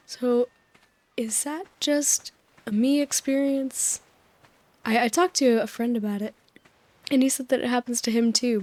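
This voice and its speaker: Soft-spoken woman sleep-story host, mellow and a touch high, slightly hoarse, meandering and soothing. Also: dry recording. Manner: gentle, soothing, meandering with small laughs. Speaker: sleep-aid video host. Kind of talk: soft, rambling sleep-aid talk over gameplay. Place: voice-over recorded apart from the game footage.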